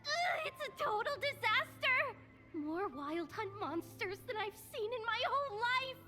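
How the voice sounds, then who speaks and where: A woman's voice: very high-pitched, expressive, a little slow, pushed and bright, heavily acted. A woman playing a young, flustered, whiny messenger girl in a video game, speaking informally and hesitantly. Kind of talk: English video game voice acting. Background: background music.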